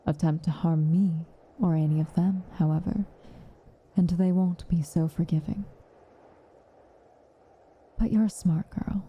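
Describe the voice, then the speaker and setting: A woman playing a stern but caring witch in an ASMR roleplay, speaking softly and with measure, dryly commanding and often slipping into a whisper. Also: fairly low pitch, fairly even intonation, a little slow, long pauses, husky, lo-fi sound. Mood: protective, soothing. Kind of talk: ASMR roleplay, intimate acted monologue.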